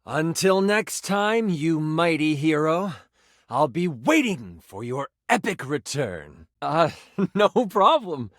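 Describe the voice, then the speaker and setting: Animated, earnest game master. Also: male voice, a little high, slow pace, no room sound, clean studio sound, full character voice. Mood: friendly, awkward. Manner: theatrical, earnest, awkwardly gushing. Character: earnest, excitable nerdy game master, a living D20. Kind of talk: dramatic tabletop game narration and chat.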